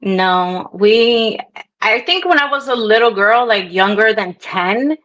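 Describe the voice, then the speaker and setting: A woman's voice: on the high side, lively intonation, slow pace, a bit bright, no echo. Young brooklyn woman, new york accent w a bit of spanish, chatty, lots of likes and ums, dull dry call audio. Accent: New York with Spanish touch. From Brooklyn, New York, Dominican descent. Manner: chatty, laughs easily, many fillers. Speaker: guest. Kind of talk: casual storytelling interview.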